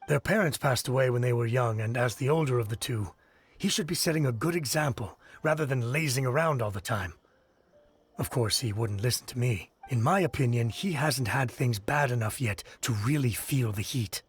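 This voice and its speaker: A man playing a stern, disapproving villager in a video game, husky and matter-of-fact as he lectures. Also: mid-range voice, normal speed, lightly acted. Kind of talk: video game character acting.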